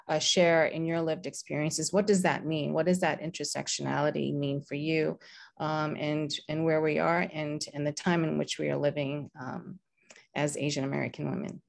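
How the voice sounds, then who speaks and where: A woman's voice: deep voice, little pitch movement, moderate pace, gravelly. A woman moderating a panel discussion over a video call, speaking hesitantly with fillers. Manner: hesitant, with many fillers and restarts.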